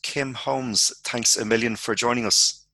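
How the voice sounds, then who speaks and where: Gravelly, bright-toned male podcast host. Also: medium pitch, steady tone, a little slow. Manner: friendly, brief questions. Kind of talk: interviewer.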